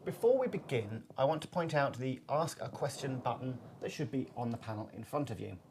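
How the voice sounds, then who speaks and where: A man presents as the host of a business web seminar. His mid-pitched voice delivers scripted introductions and questions, with some noise behind it. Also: animated, fairly quick, a little mellow.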